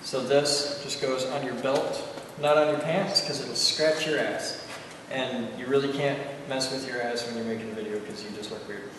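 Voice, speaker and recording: A man's voice: mid-range voice, fairly even intonation, moderate pace, hardly any pauses. A man giving a casual, step-by-step hands-on demonstration in an informal, joking way. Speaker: instructor, video host. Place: indoor room. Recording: very echoey room, distant mic, noisy.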